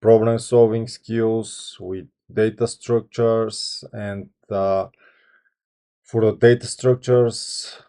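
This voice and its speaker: A man in his thirties with a Bulgarian accent, a software engineer talking to camera without a script, hesitant, with many ums and restarts. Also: on the low side, steady tone, slow pace. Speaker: software engineer channel host. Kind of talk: unscripted talk to camera.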